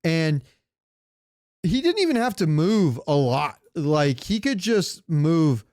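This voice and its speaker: A man with a smooth, slightly high voice chats as a podcast co-host. He tells joking anecdotes in loose, profane slang. The sound is close and dry, with no room echo. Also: very animated, slow, darkish tone. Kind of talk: casual chat, comic storytelling.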